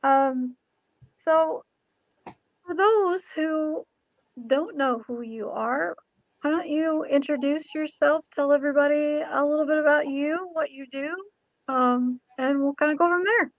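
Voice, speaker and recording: A woman's voice: high voice, measured pace, no echo, lo-fi sound. A woman hosting a casual interview show, speaking in an informal, chatty way with sentences that sometimes trail off. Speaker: show host; ordained minister, former pastor.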